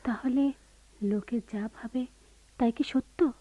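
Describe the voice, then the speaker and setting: An actress playing a young housewife, bossy yet gently teasing, with theatrical radio play delivery. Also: female voice, fairly high pitch, slow pace, muffled recording. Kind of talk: Bengali radio play acting.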